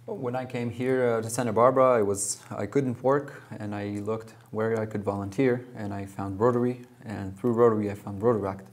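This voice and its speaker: A man in his thirties, from Russia and Israel, answering questions as a guest in a TV studio interview, halting with many fillers and restarts. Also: lowish voice, fairly even intonation. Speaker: club president and guest. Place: small TV studio.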